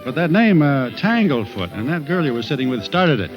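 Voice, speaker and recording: An actor playing a shy, earnest young rookie ballplayer in a 1940s radio drama, polite and a little awkward. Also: male voice, medium pitch, very animated, brisk, muffled recording, over music, natural voice. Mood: friendly.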